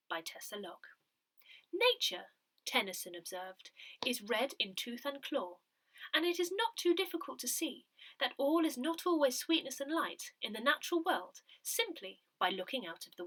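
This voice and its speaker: Female newsletter narrator, slightly high-pitched, highly animated melody, measured and expressive read. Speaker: newsletter reader. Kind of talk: scripted narration, reading newsletter articles and verse aloud.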